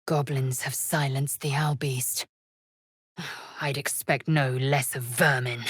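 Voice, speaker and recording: A fierce alien warrior woman from a video game. Her voice is low and rough-edged, recorded close and dry. She speaks in clipped, formal phrases with proud disdain. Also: fairly even intonation, takes it slowly, no room sound, heavily acted. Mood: haughty, stern. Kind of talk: video game character voice lines.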